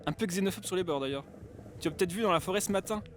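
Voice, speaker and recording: An actor playing an excitable, teasing young man recounting a past adventure to a friend. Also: high-pitched, very fast, faint music behind, lightly acted. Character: eager, bossy young male narrator companion.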